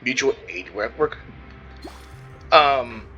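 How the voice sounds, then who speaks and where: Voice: mid-range voice, lively intonation, slow pace, bright, forceful tone, dull audio. A gamer giving casual, slangy commentary over a playthrough. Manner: slangy, informal. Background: game music.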